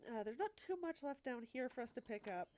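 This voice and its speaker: A woman's voice: fairly high pitch, animated, fairly quick, warm tone. A woman with a stuffy cold talking casually as a let's play host, explaining the game as she goes with wry asides. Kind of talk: casual gameplay commentary.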